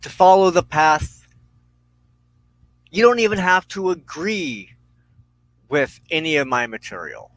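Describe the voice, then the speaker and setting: A man hosting a personal spiritual talk, speaking in deliberate, halting phrases with firm emphasis. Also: fairly high pitch, big pitch swings, fairly bright tone, low-quality recording. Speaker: host of a weekly witchcraft broadcast.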